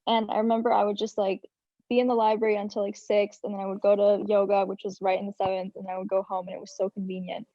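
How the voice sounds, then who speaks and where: Chatty student with a dark, muffled voice. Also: female voice, medium pitch, flat delivery, quick pace, no room sound. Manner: chatty, filler-heavy. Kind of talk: casual panel chat. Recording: dull, dry lo-fi call audio.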